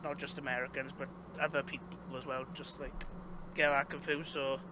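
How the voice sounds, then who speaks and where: A man's voice: mid-range voice, level tone, moderate pace. A young man with a Welsh accent, a game developer chatting casually as an interview guest, rambling with lots of fillers and restarts. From Wales. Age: young adult. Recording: noisy background.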